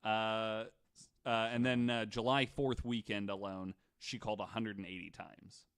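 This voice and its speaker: A man with a fairly low voice hosts a podcast. He tells stories from notes in a casual, conversational manner, dropping in jokey asides. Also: little pitch movement, moderate pace, fairly bright tone, smooth. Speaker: podcast host. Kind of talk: casual storytelling from notes with banter.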